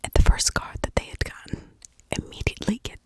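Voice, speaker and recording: Woman doing whispered asmr storytelling, all breath no voice, chatty little asides. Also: a little fast. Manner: conversational, with rhetorical asides and check-ins. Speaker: ASMR true crime storyteller.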